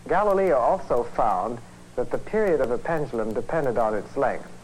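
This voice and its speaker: A man, a physics professor, presenting an educational film lecture in a measured, conversational, explanatory way. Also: mid-range voice, animated, a little mellow. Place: film studio. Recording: noisy 1950s educational film soundtrack.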